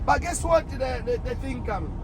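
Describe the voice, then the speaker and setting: High-pitched man, Tanzanian accent, middle-aged, casual storyteller, repeats himself for emphasis, clear street noise behind. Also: big pitch swings, normal speed, a crowd in the background. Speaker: truck driver telling his experience. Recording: noisy outdoor urban traffic noise.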